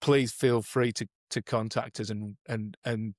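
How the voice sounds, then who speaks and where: A man's voice: on the low side, fairly even intonation, a little slow, a little mellow, no echo, clean studio sound. A man co-hosting a podcast, explaining coaching methods in a thoughtful, conversational way, often restarting his sentences. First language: English. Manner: thoughtful, many restarts and "you know"s. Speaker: podcast co-host, performance coach.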